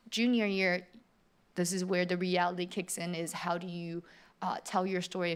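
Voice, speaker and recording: A middle-aged woman from Taiwan giving an upbeat open house presentation in a theater, speaking directly to prospective students. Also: fairly low pitch. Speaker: animation faculty presenter. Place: theater auditorium.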